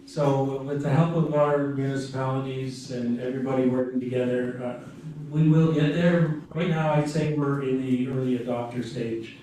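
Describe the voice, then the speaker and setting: A man giving a webinar presentation, speaking haltingly with restarts and fillers, recorded from a distance in a meeting room. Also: mid-range voice, steady tone, dark tone. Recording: echoey room, from far off.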